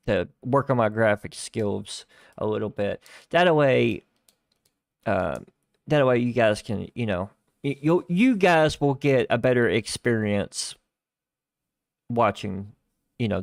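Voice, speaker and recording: A man hosting a live stream in casual chat, rambling with lots of fillers and restarts, on a starter microphone that lacks bass. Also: vocal fry, dry recording, studio quality. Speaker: livestream host. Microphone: starter desk microphone lacking bass.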